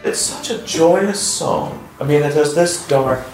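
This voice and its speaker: A man's voice: fairly high pitch, measured pace, a little mellow, soft background music. A man interviewing someone in a casual, conversational style. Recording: distant, echoey room, faint noise.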